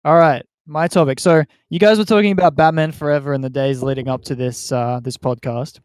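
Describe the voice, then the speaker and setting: A man's voice: on the high side, fairly even intonation, brisk, darkish tone, a little hiss or hum. A man co-hosting a podcast, chatting casually with friends. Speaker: podcast co-host. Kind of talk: casual banter among friends.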